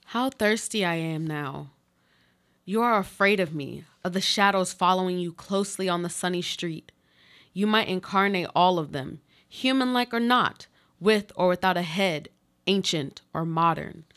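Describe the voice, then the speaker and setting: A woman's voice: lowish voice, moderate pace, fairly bright tone. A woman acting a haunted, watchful woman in a radio play, with intense, dramatic delivery. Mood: ominous. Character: haunted, watchful woman clinging to existence through words. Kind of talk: live radio play monologue.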